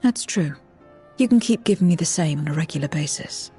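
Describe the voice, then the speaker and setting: A world-weary woman from a void realm in a video game. She speaks bluntly and tersely, with a deadpan delivery. Music plays behind her. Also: deep voice, a little fast, slightly rough, exaggerated voice. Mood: matter-of-fact. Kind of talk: video game cutscene acting.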